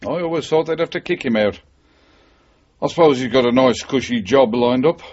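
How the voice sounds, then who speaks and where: Actor as a husky, cynical ex-policeman, muffled radio. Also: mid-range voice, normal speed, darkish tone, natural voice. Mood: wry. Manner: guarded, wry. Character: wary, cynical male ex-policeman. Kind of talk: 1980s radio drama acting. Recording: dull, muffled radio broadcast recording.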